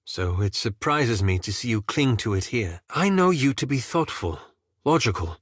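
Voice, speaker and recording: An actor voicing an earnest, scholarly male wizard in a video game, speaking in a formal, measured and thoughtful way with some hesitancy. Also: mid-range voice, dry recording, studio quality, natural voice. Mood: concerned, formal. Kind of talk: video game voice acting, dialogue lines.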